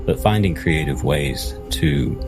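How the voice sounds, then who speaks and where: A man playing a tabletop role-playing game, deliberately narrating what his character does, phrase by phrase. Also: very deep voice, sing-song, gravelly, music in the background.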